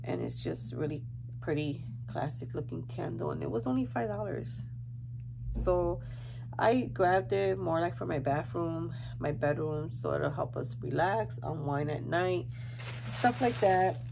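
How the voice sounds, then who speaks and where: A woman's voice: low-pitched, animated, a little slow, gravelly, background noise. A congested woman chatting casually to viewers in a rambling haul vlog, thinking aloud as she goes. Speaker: home decor haul vlogger.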